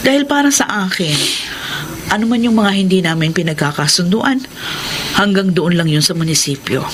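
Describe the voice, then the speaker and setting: A woman's voice: lowish voice, lively intonation, moderate pace, noisy background, natural voice. A woman playing a gracious, welcoming middle-aged Filipino aunt and town mayor in a radio drama. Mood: reassuring.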